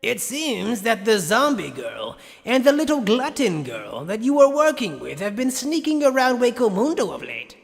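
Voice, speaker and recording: A man's voice: extremely high pitch, huge pitch swings, normal speed, vocal fry, hardly any pauses, heavily acted. A man voicing an arrogant, sadistic mad scientist in an anime fan dub, theatrical and condescending with mock politeness, recorded in long reverb. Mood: smug lecturing.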